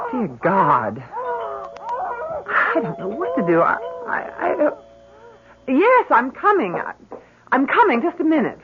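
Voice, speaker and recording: A woman's voice: high voice, huge pitch swings, slow pace, pauses often, full character voice. An actress playing an anxious, doting middle-aged mother in an old radio drama, hesitant and fretful as she coaxes. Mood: distraught, hesitant. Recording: dull, hissy vintage radio recording.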